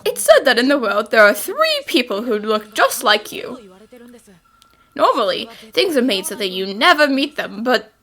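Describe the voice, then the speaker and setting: A woman's voice: a little high, normal speed, full character voice. A woman voicing an earnest, anxious and polite teenage boy assistant in a cartoon dub. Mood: grave. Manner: polite, excitable, flustered. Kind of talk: animated series fan dub.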